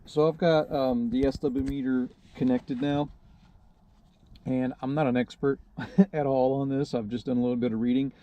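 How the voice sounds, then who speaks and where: A man's voice: mid-range voice, fairly even intonation, moderate pace, mellow, lo-fi sound. A man giving a casual, hands-on how-to explanation in a hesitant, unscripted and modest way, as the host of a DIY video. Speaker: DIY video host demonstrating a radio installation.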